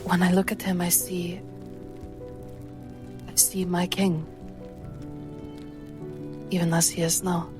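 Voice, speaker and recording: A woman's voice: fairly low pitch, flat delivery, slow, long pauses, music in the background. A woman voicing a devoted, courtly royal retainer in a fantasy roleplay, with formal, decorous speech. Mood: solemn.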